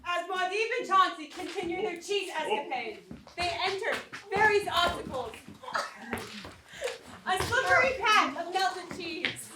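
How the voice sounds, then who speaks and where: A woman's voice: very high voice, lively intonation, unhurried. A woman reading scripted stage directions as narrator of a staged reading. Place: college theatre, indoors. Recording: echoey, noisy theatre, far from mic.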